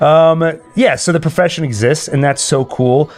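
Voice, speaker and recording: A man's voice: a little high, moderate pace, darkish tone. A man hosting a live stream, chatting casually and enthusiastically about films and reading out viewer comments. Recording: music playing behind the voice. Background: music.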